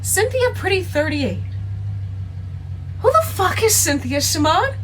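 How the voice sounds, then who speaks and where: High-pitched jealous young girlfriend played by a woman. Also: sing-song, unhurried, noisy background, exaggerated voice. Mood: accusing. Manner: confrontational, blunt. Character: jealous, suspicious young girlfriend confronting her boyfriend. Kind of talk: naturalistic radio play dialogue.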